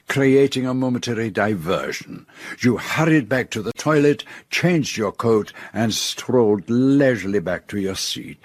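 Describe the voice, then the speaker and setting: An actor playing a confident, methodical detective in a radio drama, speaking formally and deliberately as he lays out a case. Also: lowish voice, breathy edge, dry recording. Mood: methodical, accusing. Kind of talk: radio drama acting, detective's denouement monologue.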